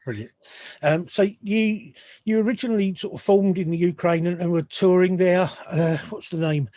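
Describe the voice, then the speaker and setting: Halting, self-correcting podcast host man. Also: high voice, mellow, dry recording. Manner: halting, many restarts and apologetic asides, laughs often. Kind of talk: casual interview chat.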